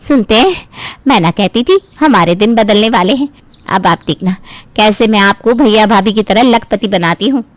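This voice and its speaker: A woman's voice: mid-range voice, moderate pace, no room sound, close to an everyday voice. A woman voicing a greedy, scheming younger sister-in-law in a family cartoon story, ingratiating and smug. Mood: gloating.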